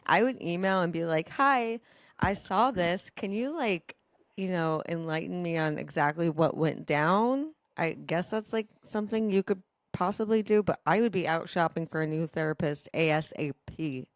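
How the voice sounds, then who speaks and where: Female podcast co-host, slightly low-pitched, joking and conversational, recorded dry. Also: normal speed, dry recording. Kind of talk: casual podcast banter.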